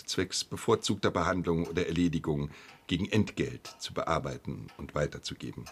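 An actor playing a firm, formal office official in a German radio play. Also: male voice, deep voice, breathy edge. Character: firm, indignant male compensation office official.

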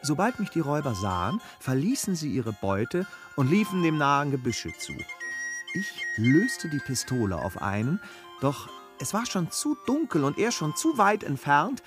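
An actor in a period radio play playing a courteous, upright gentleman traveller who speaks politely and formally. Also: on the high side, sing-song, moderate pace, over music. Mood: earnest narrating. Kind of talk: German radio play, classical period dialogue.

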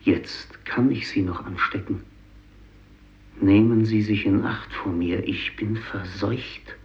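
An actor playing a guilt-ridden, doomed fisherman who narrates solemnly in a radio drama, with heavy pauses. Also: lowish voice, steady tone. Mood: bitter, haunted. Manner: solemn, deliberate, halting delivery with heavy pauses. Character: doomed, guilt-ridden Japanese fisherman, sole survivor of his village. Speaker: narrator and main character. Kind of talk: 1950s German radio drama monologue. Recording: dull vintage radio recording.

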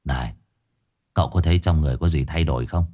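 A man voicing a mysterious drunken male stranger with a croaky voice, giving ominous warnings in an audio story. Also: rumbling low, fast talker, loud music behind the voice, pitched below the performer's own voice. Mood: low ominous. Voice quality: husky, croaky.

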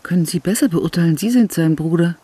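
Radio drama: a thoughtful, soft-spoken confidant, dark-toned and husky, pitched slightly high. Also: male voice, fast talker, no room sound. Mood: reflective. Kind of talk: radio play dialogue.

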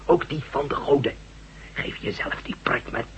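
An actor playing a badly wounded Russian space official in a radio drama, speaking haltingly and short of breath as he pleads urgently. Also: medium pitch, a bit bright, close to an everyday voice. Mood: pleading, urgent. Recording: radio recording with faint noise.

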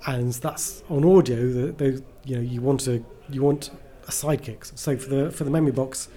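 A man's voice: mid-range voice, normal speed, mellow. A man being interviewed as a writer, chatting casually and enthusiastically, often rambling and breaking into his own sentences.

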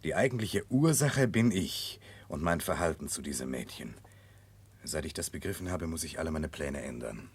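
A husky, low-voiced remorseful prince. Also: male voice, animated, heavily acted. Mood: troubled, resolute. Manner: grave, deliberate, insistent confession. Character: remorseful, earnest Russian prince resolved to atone. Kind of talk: 1960s German radio drama acting.

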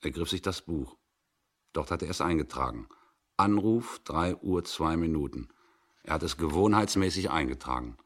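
A man giving a measured, suspenseful dramatic reading of a story in a 1960s radio production. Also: extremely low pitch, animated, a bit bright.

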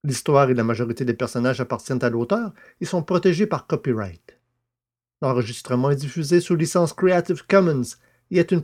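A man narrating a science fiction audio drama and reading out its credits, in an even, measured storytelling delivery. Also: on the high side.

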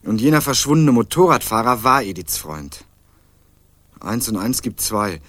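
A wry young student sleuth. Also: male voice, mid-range voice, very animated, normal speed, fairly bright tone, no room sound. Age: young adult. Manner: dry, ironic narration. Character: young male student turned amateur sleuth, wry and dogged. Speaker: narrator and protagonist. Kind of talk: radio crime drama, first-person narration.

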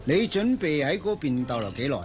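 A male actor playing the groom's smooth-talking old friend in a Cantonese radio drama, teasing and insinuating in a Hong Kong accent. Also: on the high side, very animated, moderate pace. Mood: curious. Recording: music behind the voice.

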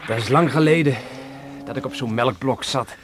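Actor as gentle grown son, faintly noisy recording. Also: male voice, mid-range voice, normal speed, natural voice. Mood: relaxed, interested. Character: affectionate grown son, glad to be home. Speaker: actor in a radio play. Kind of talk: Dutch radio play acting. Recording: slightly noisy old radio recording.

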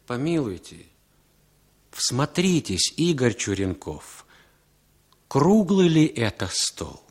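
A man's voice: mid-range voice, sing-song, slow pace. A man narrating a 1960s Soviet radio play in a theatrical, declaimed style. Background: music.

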